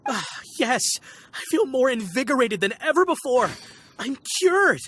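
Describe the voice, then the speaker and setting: Earnest, high-voiced, gullible townsperson. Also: female voice, big pitch swings, unhurried, a bit bright, breathy edge, full character voice. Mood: grateful, relieved. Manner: earnest, credulous, dramatic. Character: anxious, gullible townsperson who believes in a curse. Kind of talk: video game character acting.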